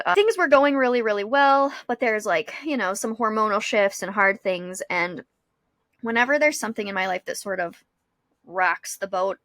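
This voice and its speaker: A young adult woman shares personal thoughts in a casual podcast conversation. Her voice sits at an average pitch, and she speaks in an informal, reflective way with very little room sound. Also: a bit bright, dry recording. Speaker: podcast co-host; school psychologist.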